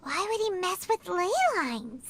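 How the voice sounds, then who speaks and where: A woman voicing a small, chirpy, childlike fairy companion in a video game, squeaky and speaking of herself in the third person. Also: sing-song, measured pace, vocal fry, fairly bright tone, slight background noise, full character voice. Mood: curious. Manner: refers to self in third person.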